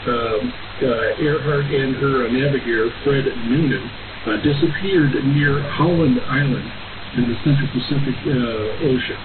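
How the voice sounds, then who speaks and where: Halting male host over hissy shortwave radio. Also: medium pitch. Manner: halting, very frequent filler sounds and repetitions. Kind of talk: loosely scripted radio monologue, announcements. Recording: narrow, hissy shortwave radio broadcast reception. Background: clear static hiss.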